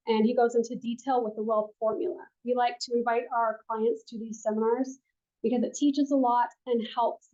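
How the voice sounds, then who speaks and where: A woman advisor teaches a room full of people, quiet and gentle, with a lot of ums. Her voice sits fairly high but comes across mellow. The recording is muffled and lo-fi, picked up by a room mic. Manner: soft-spoken, with frequent ums. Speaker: financial advisor teaching a class. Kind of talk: classroom presentation.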